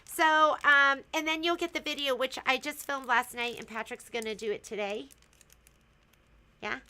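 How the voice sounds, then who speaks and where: A woman's voice: high-pitched, steady tone, normal speed, sharp tone, clear, resonant tone. A woman hosting a casual livestream from a sewing shop, chatty and teasing, giggling as she shows products.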